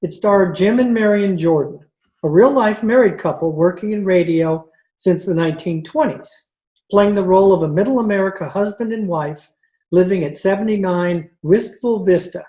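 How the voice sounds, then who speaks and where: A woman announces in the manner of a radio presenter, reading from a script. Her tone is dark and mellow, at a medium pitch. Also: moderate pace, poor audio. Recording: video call recording.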